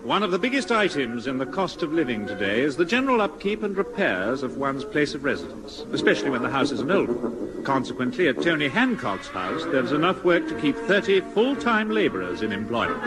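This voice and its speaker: A man's voice: medium pitch, sing-song, brisk, low-quality recording. A man with a British accent, a 1950s radio announcer narrating a comedy show in scripted style. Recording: old radio broadcast over loud music.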